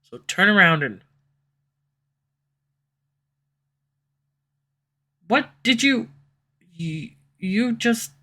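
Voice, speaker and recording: A tsundere female villain who is torn between pride and love, her words coming out flustered and defensive before softening into tenderness, as a woman plays her. The tone is bright and forceful. It is an audio roleplay recorded close on a USB condenser microphone at home. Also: fairly low pitch, heavily acted.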